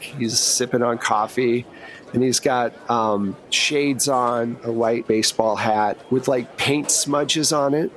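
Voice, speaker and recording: Male game master, mid-pitched voice, informal conversational scene-setting. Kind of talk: casual tabletop game narration.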